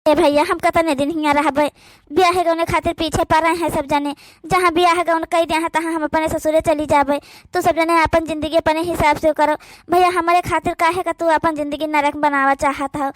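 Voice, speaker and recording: A weary young sister from an Awadhi cartoon, voiced by a woman, talking in a plaintive, high voice. The recording is dry and close. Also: flat delivery, moderate pace, no echo. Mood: resigned. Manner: plaintive, complaining softly. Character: overworked young unmarried sister, sad and gentle. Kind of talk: cartoon voice acting.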